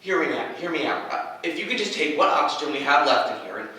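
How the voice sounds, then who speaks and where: A man's voice: a little high, expressive, quick pace, bright, forceful tone. A man playing a nervy but hopeful young hero with fire powers, stammering and earnestly encouraging in a staged reading. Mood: rallying. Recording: echoey room, distant mic.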